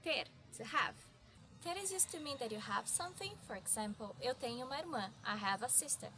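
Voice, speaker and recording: A woman's voice: a little high, lively intonation, normal speed, noisy background. A woman in her twenties from Brazil teaching verbs, explaining each one in a friendly way. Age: 20s. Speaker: language teacher.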